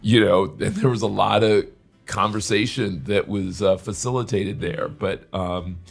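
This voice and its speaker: A man's voice: on the low side, steady tone, measured pace, creaky. A man co-hosting a talk show, speaking in casual discussion.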